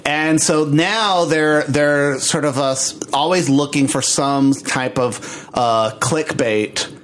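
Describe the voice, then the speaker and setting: A man's voice: a little high, fairly bright tone. Man hosting a casual podcast chat. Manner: halting, reads headlines aloud. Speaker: podcast host.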